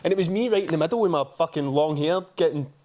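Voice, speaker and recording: Scottish guy on a podcast, voice a bit high, swears loads telling stories. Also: fast talker, darkish tone, slight background noise. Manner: sweary, storytelling, laughs often. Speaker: podcast co-host. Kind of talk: casual chat.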